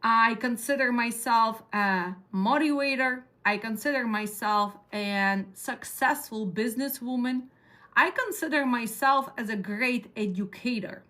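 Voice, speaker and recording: A woman's voice: fairly high pitch, measured pace, sharp tone. A middle-aged woman with a Ukrainian accent, lecturing a class on trucking costs in a direct way and putting questions to her students. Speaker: dispatch trainer.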